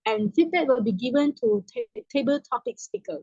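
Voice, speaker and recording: A woman's voice: a little high, expressive, moderate pace, a little mellow, dry recording. A woman hosting a club meeting, explaining its program in a formal, explanatory way. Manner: formal, explanatory, some restarts. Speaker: meeting host, toastmaster of the evening. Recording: dull lo-fi dry sound.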